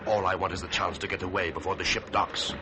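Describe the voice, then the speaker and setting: Casting: male actor as a very low-voiced, composed prisoner, earnest and persuasive, old radio thriller with heavy background noise. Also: fairly even intonation, fast, lightly acted. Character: calm, determined male prisoner, a gentlemanly accused murderer. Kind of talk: old-time radio drama acting. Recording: noisy, muffled vintage radio recording.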